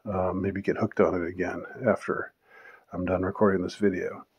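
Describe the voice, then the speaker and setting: A man in his fifties answering viewer questions in a casual, unscripted monologue, rambling and halting as he lets thoughts trail off. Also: sits low, little pitch movement, a little mellow, breathy edge, highs cut off. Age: 50s.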